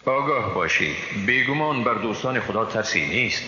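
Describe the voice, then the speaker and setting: A man with an Afghan Dari accent reads a scripture translation in a formal, solemn, measured way. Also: fairly low pitch, lively intonation, pushed and bright. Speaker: translation reader. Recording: reverberant hall, dull, noisy background.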